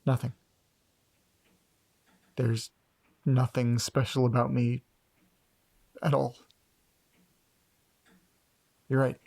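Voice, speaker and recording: A man's voice: mid-range voice, level tone, slow pace, vocal fry, a little mellow, slightly rough, heavily acted. A man acting as an anxious, self-doubting man caught up in eerie mysteries, speaking hesitantly and earnestly in a naturalistic horror audio drama. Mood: subdued, vulnerable.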